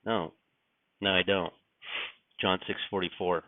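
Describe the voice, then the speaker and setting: Raspy man, just up, low pitch, rambling and musing, tinny dry recording. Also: flat delivery, unhurried, no room sound. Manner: rambling, musing, frequent restarts and fillers. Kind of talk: casual spoken reflection. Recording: dry, tinny phone-like sound.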